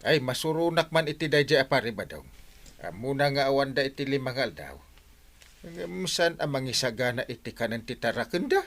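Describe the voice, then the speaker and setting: Man playing animated, grumbling Filipino village captain. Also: medium pitch, brisk. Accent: Ilocano Filipino. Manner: colloquial, exclaiming, complaining. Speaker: lead actor in comedy drama. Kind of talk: Ilocano audio comedy drama acting.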